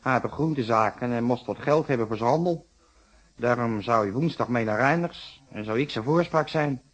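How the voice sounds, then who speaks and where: An actor playing a cagey, grumbling, brusque working-class husband in a radio detective play, in colloquial, defensive Netherlands Dutch. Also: male voice, mid-range voice, normal speed, slightly rough, close to an everyday voice.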